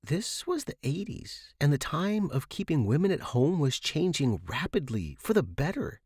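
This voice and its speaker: A man's voice: fairly high pitch, sing-song, moderate pace, darkish tone, slightly rough, no room sound, studio quality. A man reading a scripted first-person story as a fiction podcast narrator.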